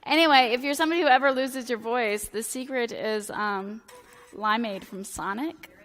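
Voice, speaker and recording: A hoarse woman in her thirties preaches in a chatty, self-deprecating way, full of filler words. Her voice is bright and sits a little high. It rings with the long reverb of a church sanctuary. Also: normal speed. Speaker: priest. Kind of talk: casual, joking sermon.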